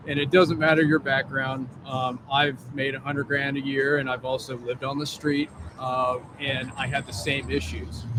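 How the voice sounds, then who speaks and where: A man working as a case manager, talking candidly and plainly about his past, outdoors. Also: medium pitch. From Ohio, raised in Columbus. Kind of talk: casual outdoor interview. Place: outdoors on a bench by a street. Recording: street noise behind.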